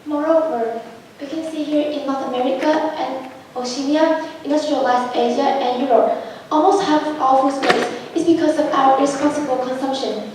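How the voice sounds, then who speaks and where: High young woman, echoey room. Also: steady tone, moderate pace, darkish tone. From Vietnam. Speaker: student team presenter. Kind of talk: rehearsed formal presentation. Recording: very echoey room, distant mic, faint noise.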